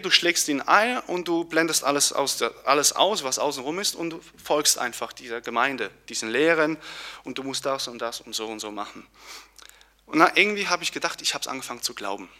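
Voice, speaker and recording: A young man from Poland, giving an earnest personal testimony with pauses and restarts. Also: a little high, expressive, bright, forceful tone. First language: Polish. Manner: earnest, searching, with fillers and restarts.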